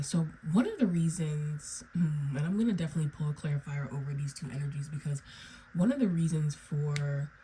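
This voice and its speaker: Low, mellow-voiced woman reading tarot. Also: a little hiss or hum, low-quality recording. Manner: meandering, repeats "okay?" and "right?". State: under the weather, raspy. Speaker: tarot reader. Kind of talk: solo tarot reading to viewers.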